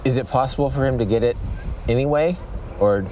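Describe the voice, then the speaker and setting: A man's voice: medium pitch, fairly quick, a little mellow. Mid-voiced male host, inquisitive interviewer recorded outside with faint traffic behind. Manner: curious, asks follow-up questions with murmured reactions. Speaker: podcast host. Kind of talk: casual interview.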